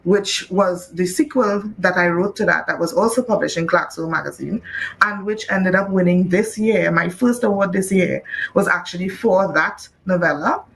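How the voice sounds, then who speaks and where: A woman from Trinidad and Tobago, an author talking casually in an interview, lively and laughing often, with many "you know"s. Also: fairly low pitch, moderate pace, vocal fry, low-quality recording. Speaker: author guest.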